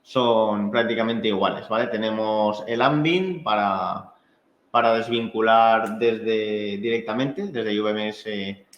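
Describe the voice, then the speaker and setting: Spanish man training in an echoey room. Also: lowish voice. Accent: Spanish from Spain. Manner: halting, conversational explanations with frequent check-in tags. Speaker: technical trainer. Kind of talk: informal technical training presentation. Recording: echoey room, dull muffled sound.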